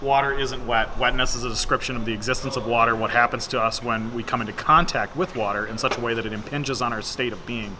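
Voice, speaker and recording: A man's voice: medium pitch, fairly even intonation, fast talker, few pauses. A man who works at a company, chatting casually for a vlog. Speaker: company employee. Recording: clear background noise.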